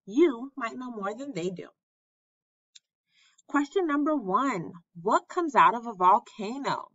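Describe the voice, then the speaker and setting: A woman reading aloud to children as a teacher, deliberate and clearly enunciated, in an instructional way. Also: a little high, expressive, fairly bright tone, dry recording, lo-fi sound. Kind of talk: children's read-aloud lesson narration.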